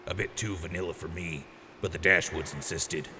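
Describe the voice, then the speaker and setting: A man's voice: fairly even intonation, normal speed, lightly acted. A man playing a boisterous, generous wasteland quartermaster in an audio drama, with a hyped showman's delivery. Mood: hyped, proud. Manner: hyped showman with booming catchphrase outbursts. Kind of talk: post-apocalyptic audio drama acting. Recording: noisy, reverberant mix.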